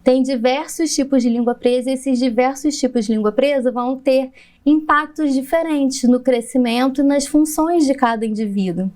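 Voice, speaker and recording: Woman, rather high voice, patient explanations, laughs often, podcast guest. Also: moderate pace, a little mellow. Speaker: pediatric dentist and lecturer. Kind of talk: podcast interview.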